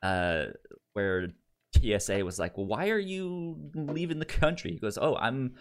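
A man co-hosting a casual podcast chat, talking informally and haltingly, with a stutter that repeats sounds and syllables. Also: mid-range voice, big pitch swings. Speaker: podcast co-host.

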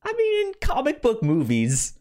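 A man hosting a podcast in casual chat, talking haltingly with repeated false starts. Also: very high voice, huge pitch swings, a little mellow. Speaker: podcast host.

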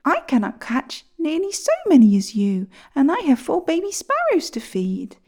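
Lady doing a high fussy little sparrow mum, storybook style. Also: wildly swooping pitch, normal speed, a little mellow, heavily acted. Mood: fretful. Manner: high, fretful and polite. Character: small chirpy female sparrow mother.

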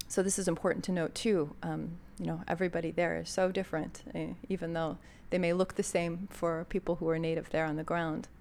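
A woman's voice: on the low side, darkish tone, slight background noise. An American woman hosting a podcast, introducing an episode in a thoughtful, conversational way with frequent fillers. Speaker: podcast host.

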